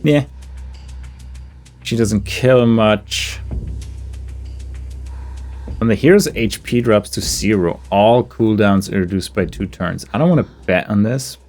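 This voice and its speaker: A man's voice: fairly low pitch, slow, long pauses, darkish tone, slight background noise. A man doing casual gameplay commentary, thinking aloud in a hesitant, musing way that trails off mid-thought. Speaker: video game player and commentator.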